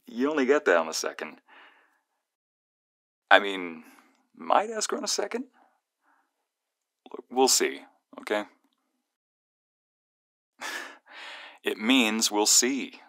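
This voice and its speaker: Man playing young guy best friend on a call, really deep voice, super dry close sound, teasing but sincere n protective, asmr roleplay. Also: huge pitch swings, slow, pauses often, dry recording, exaggerated voice. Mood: teasing, chatty. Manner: teasing banter turning soft and earnest. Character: playful, protective young male friend, secretly fond. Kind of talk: ASMR roleplay, one-sided phone call.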